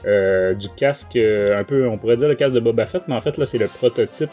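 A middle-aged man with a Québécois French accent, hosting a casual podcast chat in hesitant, halting phrases. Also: medium pitch, dark tone, soft background music. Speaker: podcast host. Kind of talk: casual talk show chat.

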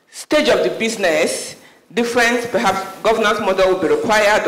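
A Nigerian woman from the audience making a measured, thoughtful comment at a conference. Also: medium pitch. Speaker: audience member asking a question. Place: large conference hall. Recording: echoey conference hall.

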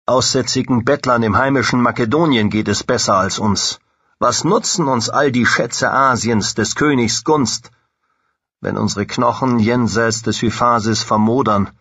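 An actor playing a weary, battle-scarred, homesick veteran soldier in a history audio play, speaking in a solemn, declamatory lament. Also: male voice, on the low side, a bit bright, breathy edge, no echo, dull audio. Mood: weary, bitter. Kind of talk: dramatic monologue in a German history audio play.

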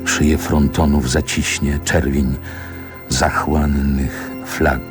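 Wistful old narrator guy, super low gravelly voice, slow, solemn radio play poetry. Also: flat delivery, music in the background. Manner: deliberate, solemn, poetic delivery. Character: older man, wistful poetic narrator recalling Warsaw childhood. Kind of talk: literary radio drama monologue.

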